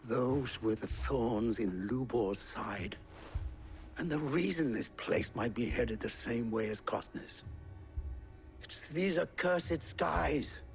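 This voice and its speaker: An actor playing a stern, weary merchant in a fantasy game, speaking formally and earnestly. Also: male voice, medium pitch, gravelly. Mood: grim, weary. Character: stern, weary male merchant keeping order. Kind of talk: dramatic game cutscene acting.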